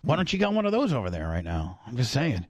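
A man's voice: medium pitch, wildly swooping pitch, fast talker, no echo. A man hosting a casual interview, talking bluntly with plenty of swearing and jokes. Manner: profane, blunt, joking. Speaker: podcast host.

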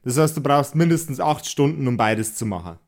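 A man's voice: mid-range voice, expressive, a little fast. A man, improvising as game master of a tabletop game, switching between narration and casual chat.

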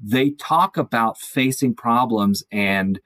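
A man speaking as a podcast guest, thoughtful and measured, building his points in speechlike lists. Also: medium pitch, fairly bright tone, no room sound. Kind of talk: thoughtful conversational discussion.

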